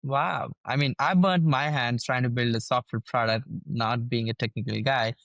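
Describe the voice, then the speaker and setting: A man's voice: mid-range voice, steady tone, normal speed, gravelly, a bit bright, no room sound. A man hosting an interview podcast in an upbeat, hype-style way, calling his listeners guys and his guest brother. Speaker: podcast host.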